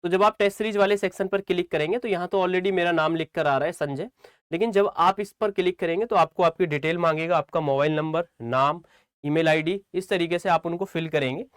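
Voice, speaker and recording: High-pitched man teaching. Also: fairly quick, dry recording, clean studio sound. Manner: repeats phrases for emphasis, often checking with listeners. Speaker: exam coaching teacher. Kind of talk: informal instructional talk to students.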